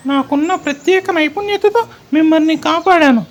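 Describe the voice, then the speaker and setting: A woman's voice: high voice, a little fast, highs cut off, natural voice. A woman voicing a small, cheerful, cheeky monkey in a children's puppet show, teasing and emphatic. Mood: mocking.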